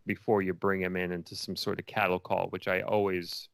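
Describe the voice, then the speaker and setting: A man's voice: low voice, flat delivery, a little fast, creaky, fairly bright tone, dry recording, studio quality. A man speaking casually as an interview guest, a filmmaker who often repeats phrases as he builds his thoughts.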